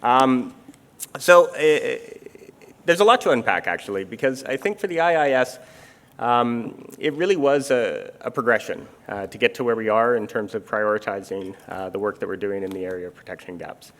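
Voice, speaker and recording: A middle-aged man from Canada speaking in a measured, explanatory way, with many fillers, in an onstage armchair conversation. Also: mid-range voice, a bit bright. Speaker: international insurance supervision official. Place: conference stage. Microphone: handheld or stage microphone.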